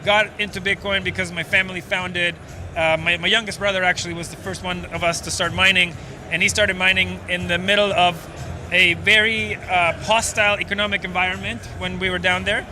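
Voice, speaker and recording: A man's voice: high-pitched, little pitch movement, a little fast, slight background noise. A man from Venezuela, a startup co-founder, explaining at length in a casual interview with many fillers. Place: outdoors at a busy conference.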